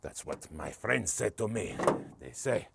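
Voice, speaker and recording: A man's voice: lowish voice, a little slow, creaky, noisy background, pitched below the performer's own voice, heavily acted. A man voicing a cheeky, boastful Spanish man reviewing a car in broken English with a put-on Spanish accent, joking as he goes. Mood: jokey. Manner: jokey, broken English, lively swoops.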